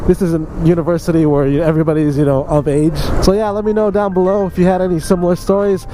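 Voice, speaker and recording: A man's voice: high-pitched, fairly even intonation, fast talker, noisy background. A young man telling a casual story in a chatty way, speaking directly to his viewers like a vlogger.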